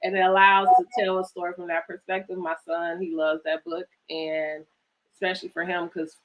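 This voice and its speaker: Lowish-voiced woman hosting a video-call interview. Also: level tone, moderate pace, low-quality recording. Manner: enthusiastic, laughs often, loose phrasing with "like" and "um". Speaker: host of a virtual author storytime. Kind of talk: casual interview chat.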